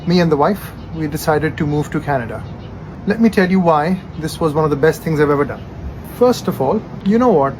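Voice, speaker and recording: Earnest man with a Pakistani accent. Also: on the high side. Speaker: doctor. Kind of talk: personal vlog testimony. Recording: background noise.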